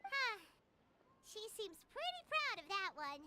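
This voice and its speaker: A woman voicing a tiny, excitable, cheeky childlike fairy companion in a video game, squeaky and chatty, referring to herself in the third person. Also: a little slow, heavily acted. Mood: curious, amused. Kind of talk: video game character acting.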